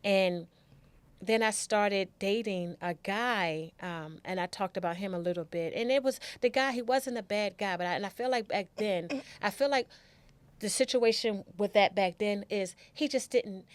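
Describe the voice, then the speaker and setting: A woman's voice: mid-range voice, moderate pace, smooth, dry recording. American woman podcast guest, middle aged, casual storytelling, repeats bits for emphasis, acts out the dialogue. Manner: animated, re-enacts conversations, repeats phrases for emphasis. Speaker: podcast guest telling her life story. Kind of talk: personal storytelling, casual chat.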